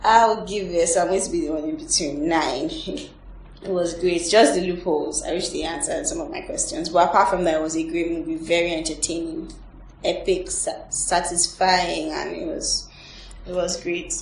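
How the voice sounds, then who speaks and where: A woman's voice: fairly low pitch, steady tone, moderate pace, distant mic. A woman hosting a casual panel review, giving her opinions and thinking aloud as she talks. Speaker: host of a team film review. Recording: echoey room, off the mic, faint noise.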